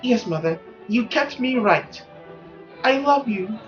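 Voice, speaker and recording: A man voicing a timid, pleading young daughter in a visual novel, soft and hesitant. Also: very high-pitched, animated, slow, highs cut off, faint music behind, voice pushed up, heavily acted.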